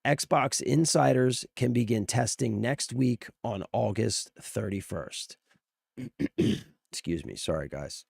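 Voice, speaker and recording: A man's voice: mid-range voice, expressive, slow pace, creaky, a little mellow, slightly rough, dry recording, clean studio sound. A man hosting a casual livestream, talking informally to viewers while sick, his voice strained. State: sick, voice strained from near loss. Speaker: live streamer host. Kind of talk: casual livestream commentary on gaming news.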